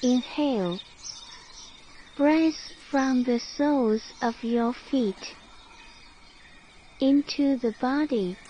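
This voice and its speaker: A woman narrating a guided sleep meditation in short phrases with long pauses between them. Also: sits high, warm tone, muffled recording, a little hiss or hum.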